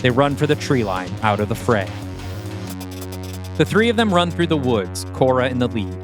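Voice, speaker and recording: A man's voice: medium pitch, sing-song, normal speed. A man reading scripted stage directions as the narrator of a dramatic audio play, with music behind him.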